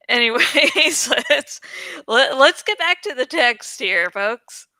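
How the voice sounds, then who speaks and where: A woman's voice: high voice, expressive, slow pace, pushed and bright, no echo. A woman reading a fantasy novel aloud as an amateur audiobook narrator, casual and given to jokey, self-teasing asides.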